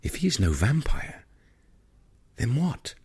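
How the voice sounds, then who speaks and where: A man's voice: medium pitch, very animated, takes it slowly, darkish tone, slightly rough, exaggerated voice. A man voicing a young, newly made vampire, earnest, questioning and unsure, in an audiobook story. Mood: confused.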